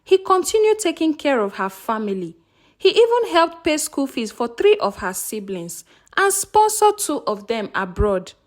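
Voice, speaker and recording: A woman tells a folktale with dramatic expression. Her voice sits very high and moves widely in pitch as she narrates. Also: big pitch swings, moderate pace. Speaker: storyteller.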